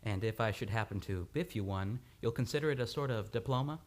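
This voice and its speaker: A man playing a troubled, guilt-ridden amnesiac in an old-time radio play, halting and impassioned, by turns romantic and petulant. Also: on the low side, close to an everyday voice. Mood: petulant, teasing. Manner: halting, impassioned, swinging between teasing and anguish.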